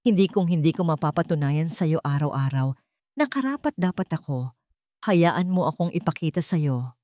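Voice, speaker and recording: A woman's voice: low-pitched, animated, moderate pace, warm tone, dry recording, clean studio sound. A woman voicing a remorseful billionaire husband who pleads sincerely in a romance audio story. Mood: sincere, pleading.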